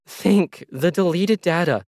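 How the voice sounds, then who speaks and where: A man's voice: sits high, takes it slowly, darkish tone, no echo, clean studio sound, voice pushed up, exaggerated voice. A man voicing a determined, pleading woman warehouse worker in an urgent, insistent way for an audio story.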